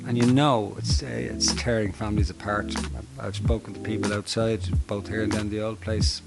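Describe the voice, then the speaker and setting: A middle-aged Dublin man who manages a homeless service, answering interview questions earnestly with many halting restarts. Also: lowish voice, level tone, vocal fry, over loud music, low-quality recording. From Ireland.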